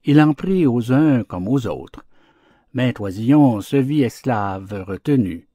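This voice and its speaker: A man narrating verse fables as a storybook audiobook, in a measured, theatrical recitation. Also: mid-range voice, animated, warm tone, no echo.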